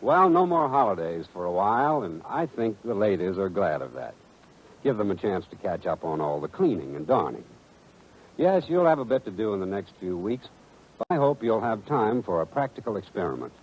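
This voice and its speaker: Old-time radio ad guy, husky and animated, sells straight to the listener, dull vintage sound. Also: lowish voice. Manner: direct, persuasive address to the listener. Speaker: announcer. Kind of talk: 1940s radio advertising pitch. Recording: muffled vintage radio recording.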